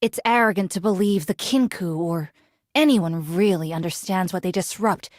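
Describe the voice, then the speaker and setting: An actress playing a solemn, dutiful ancient warrior woman in a video game, speaking in formal, measured, archaic phrases. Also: fairly low pitch, dry recording, clean studio sound, lightly acted. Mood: grave, formal. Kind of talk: video game character voice lines.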